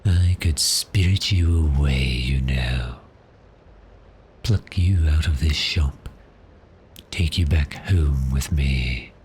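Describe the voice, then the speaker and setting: Man playing a very deep, slow-talking suave vampire. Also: a little mellow, a little hiss or hum. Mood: gloating menace. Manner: slow, deliberate, seductive, taunting. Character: suave, predatory male vampire, cheerfully menacing and teasing. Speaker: audio roleplay performer. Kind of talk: ASMR roleplay acting.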